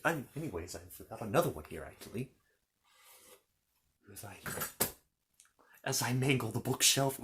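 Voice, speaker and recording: Man playing a halting, animated, bumbling knight. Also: mid-range voice, slight background noise, lightly acted. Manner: halting, stammering, apologetic, chatty. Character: polite, bumbling, bookish knight from a fantasy kingdom. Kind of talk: improvised ASMR character roleplay.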